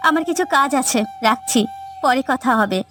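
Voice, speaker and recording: A woman's voice: sits high, lively intonation, moderate pace, a bit bright. A woman voicing an older mother in a cartoon family drama, soothing her daughter in an evasive, placating way. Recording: background music.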